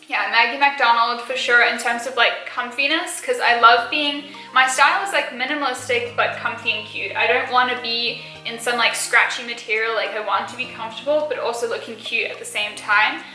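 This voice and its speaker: A woman's voice: fairly high pitch, sharp tone, reverberant, low-quality recording. A young woman in her early twenties from South Africa with a mild Australian accent, chatting casually as she answers viewer questions, full of fillers and little giggles. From South Africa, raised partly in Australia. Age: early 20s. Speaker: beauty and lifestyle YouTuber.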